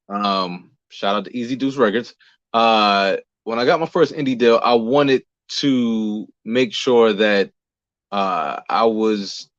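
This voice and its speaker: A man's voice: mid-range voice, dry recording. An American man giving casual answers as an interview guest, on a slightly muffled recording. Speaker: interview guest, singer, actor and playwright.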